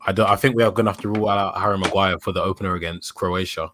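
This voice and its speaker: Young man arguing football, low voice. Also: steady tone, rapid-fire, fairly bright tone, a little hiss or hum. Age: young adult. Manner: thoughtful, builds an argument with hesitations. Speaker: podcast host. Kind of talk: casual football chat.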